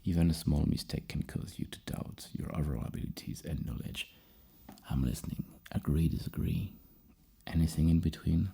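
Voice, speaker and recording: A man speaking in a soft, mostly whispered ASMR roleplay as a psychologist, breathy and halting, repeating words as he gently reassures. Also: very deep voice, a little hiss or hum. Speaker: roleplay host acting as a psychologist.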